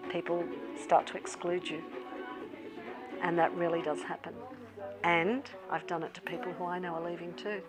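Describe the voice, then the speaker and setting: A woman educator in a documentary interview, speaking candidly from her own experience. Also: fairly low pitch.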